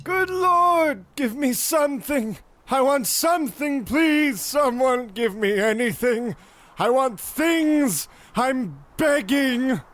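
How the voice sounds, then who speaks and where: Very high, wailing beggar. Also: male voice, lively intonation, slow pace, full character voice. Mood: begging. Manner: wailing, stammering. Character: desperate, pleading beggar in a Christmas tale. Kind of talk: comedy radio play acting.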